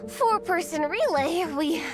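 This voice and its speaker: Worn-out, stammering young trainee, very slow. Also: female voice, very high voice, lively intonation, full character voice. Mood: exhausted, stubborn. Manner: stammering, halting. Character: exhausted, timid young trainee putting on a brave face. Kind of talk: video game voice acting. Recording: music behind the voice.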